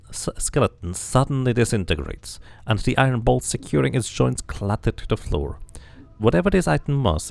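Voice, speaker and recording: A man's voice: lowish voice, creaky, a little mellow, dry recording. A man doing a casual game playthrough, musing to himself and to viewers and reading narration aloud.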